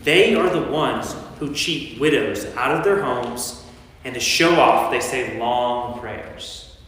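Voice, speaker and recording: A man's voice: mid-range voice, slow, fairly bright tone. Commanding teacher in an echoing church, acted by a man. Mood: scornful warning. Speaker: lay performer in a church staged reading. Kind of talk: staged scripture reading. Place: large reverberant church. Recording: echoey church, distant mic, faint noise.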